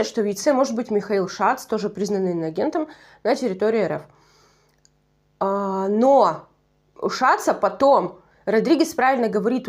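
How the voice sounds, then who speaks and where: A woman's voice: a little high, darkish tone. A woman with a Russian Far East accent chatting through a nostalgic TV show review, digressive and correcting herself as she goes. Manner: chatty, digressive, self-correcting, laughs at own slips. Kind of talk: casual solo review commentary.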